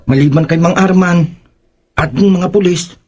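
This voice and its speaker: A man's voice: high voice, moderate pace, darkish tone. A man playing a young man, a loyal but easily rattled friend, in a horror audio drama, speaking in a casual conversational way. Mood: reassuring. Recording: clear background noise.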